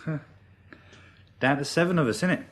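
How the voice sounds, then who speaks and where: A man's voice: on the high side, normal speed, fairly bright tone, slight background noise, close to an everyday voice. An actor playing a cheerful, blinded working-class Englishman in a radio drama, chatty and wry, with colloquial turns and morbid jokes. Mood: matter-of-fact.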